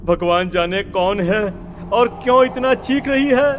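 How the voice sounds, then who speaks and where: Man acting as high-voiced, urgent village farmer. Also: expressive, a little slow. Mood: alarmed, urgent. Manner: urgent, exclaiming. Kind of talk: Hindi horror audio story acting. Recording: clearly noisy background.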